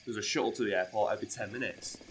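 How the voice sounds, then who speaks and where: A hoarse, ill man swearing freely as he plays a video game and tells a story. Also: fairly low pitch, very fast, low-quality recording. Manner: swears freely, bursts into loud outbursts. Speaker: co-host playing a video game. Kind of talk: casual gaming chat with storytelling.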